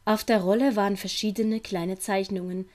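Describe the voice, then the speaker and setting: A woman's voice: medium pitch, fairly even intonation, normal speed, a little mellow. A woman reading scripted audiobook narration as the storyteller. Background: music.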